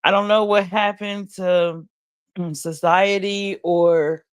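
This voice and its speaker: Slow, blunt woman musing late at night. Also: medium pitch, fairly even intonation, smooth, dry recording. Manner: blunt, profane, slow and musing with frequent restarts. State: high. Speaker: livestream host. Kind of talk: late-night casual commentary. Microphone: streaming mic.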